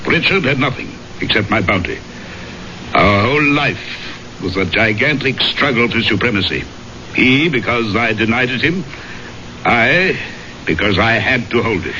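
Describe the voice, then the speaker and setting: An actor playing a proud, grim baronet in a radio drama, speaking formally and haughtily. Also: male voice, mid-range voice, lively intonation, a little slow, bright, forceful tone. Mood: proud, bitter. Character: proud, grim English baronet, powerful and embittered. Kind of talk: 1970s radio drama acting. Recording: muffled vintage radio broadcast, faint noise.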